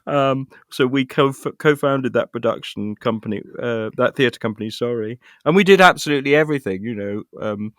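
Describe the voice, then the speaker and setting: A man's voice: medium pitch, normal speed, few pauses, a bit bright, dry recording, studio quality. A middle-aged British man chatting casually as an interview guest, self-deprecating and thinking aloud as he rambles. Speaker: guest, actor and writer.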